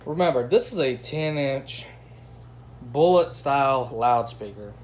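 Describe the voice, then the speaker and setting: A man's voice: medium pitch, a little slow. A man with an enthusiastic, slangy, folksy way of talking, giving a casual product review as host. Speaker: host of product unboxing and test video. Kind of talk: casual unboxing review chat. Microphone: phone's built-in microphone. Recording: noisy phone camera recording.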